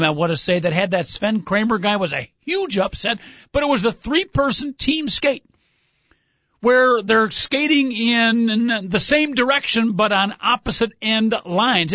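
A chatty male sports radio host with a high, light voice, rambling and teasing his on-air partner. The sound is dry and close, with no room around it. The audio is dull and muffled, like an older broadcast recording. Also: fairly bright tone, dry recording. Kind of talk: casual sports radio chat.

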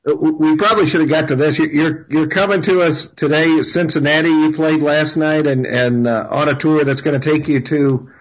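A male radio host with a slightly raised pitch talks in a halting way, repeating words and filling pauses. The recording sounds dull and lo-fi. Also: fairly even intonation. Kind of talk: radio interview.

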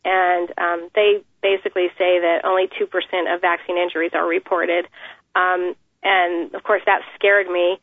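This woman speaks earnestly and steadily, pausing often with "um" as she tells her story. Her voice comes over a thin, tinny phone line. The sound is dry, with no room echo. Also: on the low side, level tone. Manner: earnest, measured, many "um" pauses. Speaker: interview guest, mother. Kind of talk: phone interview, personal account.

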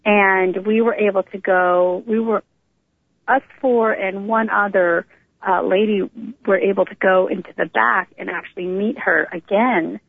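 A middle-aged American woman shares her personal story as an interview guest. Her voice has a gravelly fry and a medium pitch. She talks informally and conversationally, heard through a narrow, tinny phone line. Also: steady tone, measured pace, no room sound. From Northern California, United States.

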